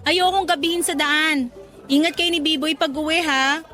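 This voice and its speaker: Cheerful courted coworker, very high-pitched, giggly, teasing, radio drama. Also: female voice, fairly even intonation, moderate pace, sharp tone, soft background music. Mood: amused teasing. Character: cheerful woman coworker being courted, amused. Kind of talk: Tagalog radio drama acting.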